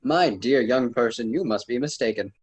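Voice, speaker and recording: A man's voice: medium pitch, normal speed, husky, natural voice. A man playing a courteous, lofty ancient poet and guide in a radio drama, speaking formally and theatrically. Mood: amused, admonishing.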